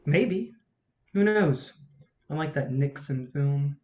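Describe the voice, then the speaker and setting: A woman's voice: deep voice, expressive, slow pace, darkish tone, highs cut off. A woman playing a cunning, bitter male film distributor in a staged play reading, with a blunt, cocky manner. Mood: bitter, cutting.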